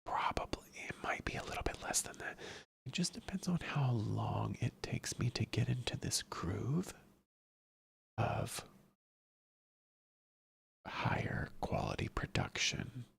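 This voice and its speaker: ASMR host for casual chat: heavily fried, very husky, hushed delivery, rambling and repetitive, close to the mic. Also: medium pitch, huge pitch swings, measured pace, pauses often. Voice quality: breathy whisper, slipping into soft-spoken murmur. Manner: hushed, rambling, repeats phrases. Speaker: ASMR streamer chatting with viewers.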